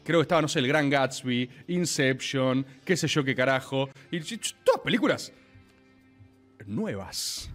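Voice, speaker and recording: Animated Argentine man in his thirties. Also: mid-range voice, moderate pace, soft background music. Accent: Argentine Spanish. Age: 30s. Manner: animated, insistent, repeats himself. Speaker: streaming show host. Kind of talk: casual banter.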